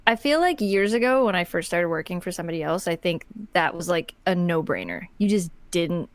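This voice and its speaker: An American woman co-hosting a podcast in casual, rambling conversation, telling stories in an animated way. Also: fairly low pitch, a little fast, dry recording. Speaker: podcast co-host. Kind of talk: casual chat, storytelling.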